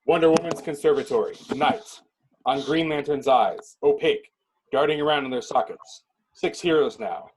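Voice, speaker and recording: A man with a husky voice narrating a script in a clipped, descriptive reading style. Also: fairly high pitch, moderate pace, a little mellow, rough recording.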